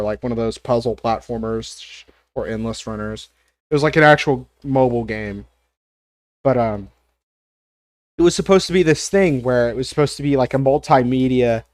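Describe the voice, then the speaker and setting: A congested man chatting on a live stream, opinionated and loose, with plenty of ums and likes. His voice sits at an average pitch. The sound is dry and close with no room echo. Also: darkish tone. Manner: rambling, opinionated, frequent fillers and swearing. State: congested, coughing. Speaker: streamer ranking cartoons. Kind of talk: casual live-stream commentary.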